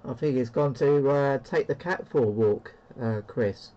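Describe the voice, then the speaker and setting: A man's voice: mid-range voice, measured pace, darkish tone, highs cut off. A man chatting casually as he demonstrates a CB radio. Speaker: CB radio operator demonstrating a rig. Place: indoor room with stacked radio equipment. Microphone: handheld CB radio microphone.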